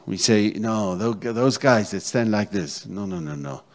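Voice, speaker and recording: A man's voice: fairly low pitch, lively intonation, measured pace. A middle-aged South African man preaching a sermon as a pastor, repeating key phrases and checking in with his congregation. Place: church stage, indoors. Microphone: handheld microphone.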